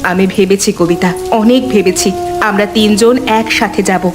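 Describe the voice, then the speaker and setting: A woman playing a resolute, sorrowful and protective eldest daughter-in-law in a cartoon drama, speaking gravely and reassuringly. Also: medium pitch, steady tone, measured pace, lightly acted. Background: music.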